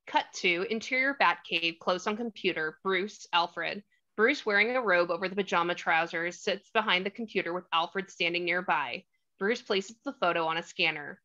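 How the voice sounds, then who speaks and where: Bright-voiced woman narrating a screenplay. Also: fairly low pitch, normal speed. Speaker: stage directions narrator. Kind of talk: scripted narration, screenplay table read. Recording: slightly dull lo-fi audio.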